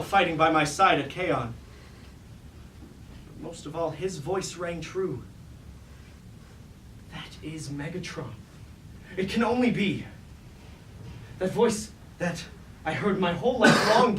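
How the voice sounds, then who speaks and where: Man as a high-voiced, scheming robot lieutenant, roomy recording. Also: a bit bright. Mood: suspicious, defiant, then haunted. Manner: theatrical, declamatory archaic speech. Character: treacherous robot lieutenant, suspicious, anguished, resentful of his master. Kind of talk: staged reading of mock Greek tragedy. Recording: roomy, distant mic, faint background noise.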